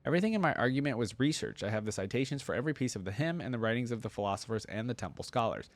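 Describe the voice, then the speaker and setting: A man's voice: mid-range voice, expressive, rapid-fire, no echo. A man hosting a fan reaction video, talking informally and reading story text aloud. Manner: informal, conversational.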